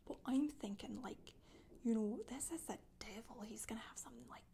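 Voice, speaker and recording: A woman in her thirties playing a chatty Scottish witch in soft-spoken, breathy, deadpan ASMR storytelling with a put-on Scottish accent. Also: on the high side, moderate pace, slight background noise. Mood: casual, confiding. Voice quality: breathy, whispery. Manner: hesitant, colloquial, deadpan.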